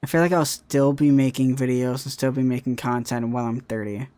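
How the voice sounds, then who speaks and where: A man's voice: mid-range voice, steady tone, fairly quick, warm tone, clear, resonant tone. A young man, a content creator, chatting casually about his future, rambling and often repeating himself with "right?". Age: young adult. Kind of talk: casual chat.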